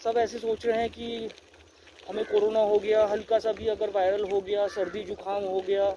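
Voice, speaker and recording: A man's voice: high-pitched, completely flat delivery, normal speed. A young man from India, cycling outdoors and earnestly giving friendly advice to his viewers, recorded on the camera mounted on his handlebars. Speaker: cycling vlogger. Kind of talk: casual vlog talk to camera while riding. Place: outdoors by an empty stadium, riding a bicycle. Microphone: handlebar-mounted camera mic. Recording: outdoor camera audio with loud ambient noise.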